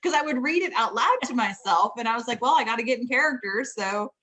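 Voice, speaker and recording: Chatty woman with a higher voice. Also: animated, fast, bright, forceful tone. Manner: chatty, laughs often, many ums. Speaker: fantasy author. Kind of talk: casual conversation about writing.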